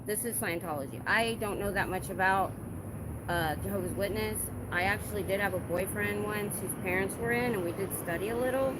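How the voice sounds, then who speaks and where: A woman talks into her phone on a busy city sidewalk, chatting loosely with viewers and answering their comments. Her voice sits at an ordinary middle pitch and lifts sharply when she gets indignant. Passing traffic and street noise are clearly heard under her. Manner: animated, rising with emphasis when indignant. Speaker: livestreaming protester. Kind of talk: casual livestream chat, reading viewer comments. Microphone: phone held in hand.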